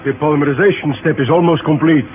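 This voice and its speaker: An actor playing a self-important, evasive scientist with formal, grandiose phrasing in a 1950s radio drama. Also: male voice, a little high, fast, lightly acted. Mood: guarded, smug. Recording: muffled, noisy 1950s radio recording.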